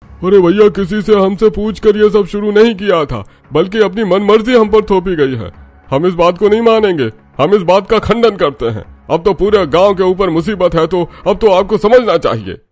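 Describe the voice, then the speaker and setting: Man acting a high-pitched hearty village elder. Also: expressive, moderate pace, darkish tone, faint music behind. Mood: indignant. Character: elderly village men, hearty and plain-spoken. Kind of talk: Hindi cartoon story dialogue.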